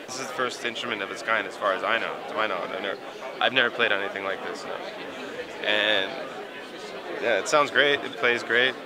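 A man's voice: lowish voice, brisk. A man musician talking casually and searching for words about trying a new instrument. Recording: loud music and crowd behind.

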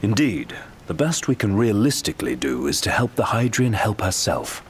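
An actor playing a composed, precise strategist in a video game, with a formal manner. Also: male voice, lowish voice, sing-song, moderate pace, a little hiss or hum. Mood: composed, instructive.

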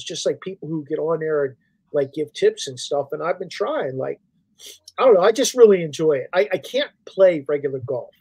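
A middle-aged man chatting casually and informally on a video call, explaining things in short, halting phrases. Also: on the high side, a little mellow. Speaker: sports podcast host. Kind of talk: casual chat. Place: indoor room on a video call.

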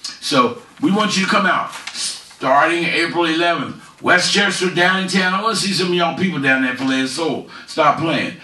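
A man's voice: a little high, sharp tone. A middle-aged man from the Philadelphia area hosting a casual community commentary show from his couch, chatting folksily as he promotes local events. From Philadelphia area, USA. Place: living room on a couch. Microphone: headset mic, far camera. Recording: roomy, slightly distant sound.

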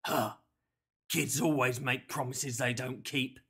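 Narrator acting a menacing skinny villain, sneering, growling, gloating, forceful delivery, children's storybook. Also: medium pitch, lively intonation, takes it slowly, husky, full character voice. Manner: sneering, growling, repeats himself in gloating tags. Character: menacing grey skinny man, sneering child-snatcher with a dog.

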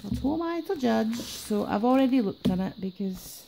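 A woman talking while she is sick, her voice gravelly and very dark. She chats cheerfully through an unboxing of craft items at a table. A faint hiss of background noise sits under her voice. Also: fairly high pitch, expressive, normal speed. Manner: chatty, enthusiastic about items. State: sick, voice affected. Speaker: planner unboxing vlogger. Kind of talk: casual unboxing chat. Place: indoors at a table. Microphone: camera filming her hands from above.

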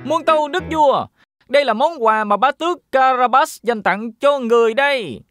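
A woman's voice: sits high, normal speed, fairly bright tone, soft background music. A woman voicing a clever, boastful talking cat with a self-assured, persuasive manner in a children's fairy-tale cartoon. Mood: formal, confident.

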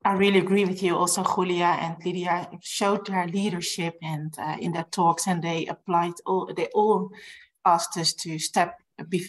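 A woman's voice: fairly low pitch, flat delivery, normal speed, poor audio. A middle-aged woman, a guest in a casual panel on a video call, searching for words in English. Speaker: podcast guest, nurse.

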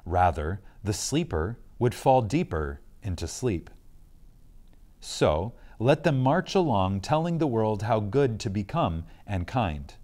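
A man giving a reverent, scripted reading of a spiritual lecture, solemn and emphatic, with deliberate pauses. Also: medium pitch, darkish tone.

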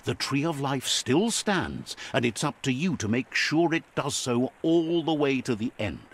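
An actor playing a solemn storybook narrator of a fantasy video game, speaking in a measured, grave way. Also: male voice, medium pitch, lively intonation.

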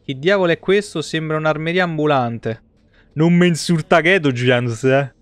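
A man's voice: a little high, moderate pace, dry recording. A man, around his thirties, giving casual, joking live commentary on a game and swearing freely. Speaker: game streamer. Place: indoor home streaming setup.

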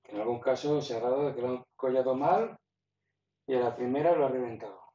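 A man's voice: mid-range voice, a little fast, warm tone, recorded from a distance. A man casually explaining, step by step, how he rigs his gear, talking in a stop-start way while handling it. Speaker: kayak fishing hobbyist showing his gear. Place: garage with roll-up door. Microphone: phone camera held in hand. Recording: echoey garage, phone mic, dull and noisy.

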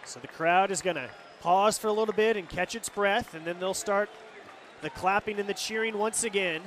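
High-pitched American baseball play-by-play man. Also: normal speed. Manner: descriptive, calling action as it happens. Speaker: radio play-by-play announcer. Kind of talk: live baseball radio commentary. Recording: loud stadium crowd noise behind. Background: ballpark crowd and outdoor stadium ambience.

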